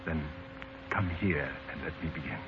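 An actor playing a wry, proud, cynical newspaper writer and romantic lead, dry and wisecracking, in 1940s radio style. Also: male voice, extremely low pitch, animated, moderate pace, vocal fry, noisy background, heavily acted. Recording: muffled 1940s radio broadcast, music behind.

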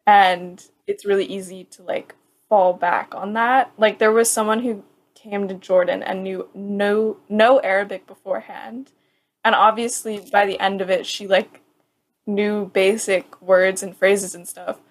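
A woman's voice: medium pitch, fairly even intonation, a little slow. A young American woman chatting casually about studying abroad, with lots of "like" fillers and a laugh now and then. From United States. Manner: chatty, frequent "like" fillers, laughs while talking. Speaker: student. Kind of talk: casual chat.

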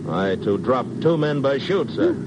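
A courteous naval officer in a vintage radio adventure. His mid-range voice is formal and businesslike. Strong hiss and rumble from the old recording sit over it. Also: big pitch swings, a little slow. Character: brisk, courteous male naval intelligence officer. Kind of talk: 1950s radio drama acting. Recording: very noisy 1950s radio recording.

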